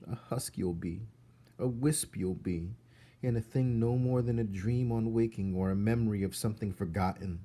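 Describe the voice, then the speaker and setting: Man voicing a mournful ghost child, drawn-out and higher. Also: mellow, lightly acted. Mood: faint, grim. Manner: drawn-out, archaic wording. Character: sad faint ghost children, old-fashioned and mournful.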